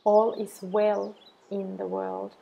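Slow-speaking woman guiding meditation, gently husky. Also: mid-range voice, little pitch movement, lo-fi sound. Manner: soothing, measured, deliberate phrases with long pauses. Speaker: meditation guide. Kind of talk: guided affirmation meditation.

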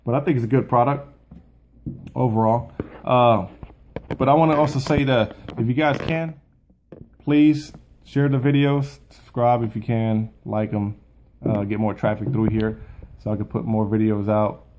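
A man's voice: mid-range voice, steady tone, normal speed, a little mellow, slight background noise. A man in his thirties giving an informal, plain-spoken product review to camera, as a video host at home. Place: small furnished room at home, indoors.

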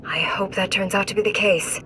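A woman's voice: medium pitch, fairly even intonation, a little fast, sharp tone, a little hiss or hum, close to an everyday voice, low-quality recording. A woman voicing a composed, dryly amused Jedi knight in a video game.

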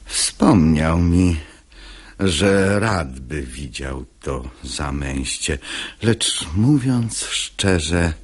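A very low, husky male voice: a sanctimonious seducer in a radio play. He declaims verse with oily piety and breathy desire, taking his time over the words. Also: expressive, exaggerated voice. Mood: ardent wooing. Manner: unctuous, slow declamation. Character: sanctimonious hypocrite man, unctuous, lustfully wooing a married woman. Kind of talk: radio drama acting in verse.

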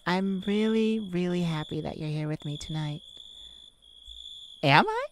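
A woman's voice: lowish voice, unhurried, slight background noise, close to an everyday voice. A woman voicing a giddy, affectionate twenty-one-year-old boyfriend in a cutesy, playful ASMR romantic roleplay. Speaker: audio roleplay performer.

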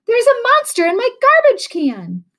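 A woman's voice: very high voice, wildly swooping pitch, normal speed, a bit bright, voice pushed up, exaggerated voice. A woman voicing a panicked fifth-grade girl who shrieks and talks breathlessly in a storybook read-aloud. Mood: frantic.

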